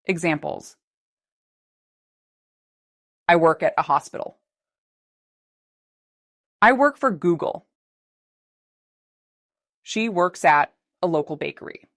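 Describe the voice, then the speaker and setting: A woman narrating a scripted English lesson as the teacher, speaking slowly and carefully with clear, deliberate articulation. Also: lowish voice, expressive, dry recording, clean studio sound.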